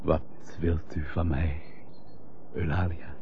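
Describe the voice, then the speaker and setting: Slow, grave, wounded gentleman. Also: a bass voice, flat delivery, exaggerated voice. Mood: sorrowful, grave. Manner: grave, formal, measured. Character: wounded, noble, melancholy gentleman, betrayed husband. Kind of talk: old-fashioned melodramatic radio drama acting. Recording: muffled old radio recording, faint hiss.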